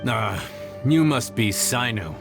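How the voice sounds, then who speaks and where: A man's voice: mid-range voice, animated, slow pace, gravelly. A man voicing a smug, slyly menacing hired thug in a video game, with a mocking, insinuating delivery. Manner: mocking, insinuating, drawn-in questions. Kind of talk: English video game voice acting. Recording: music behind the voice. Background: game soundtrack music.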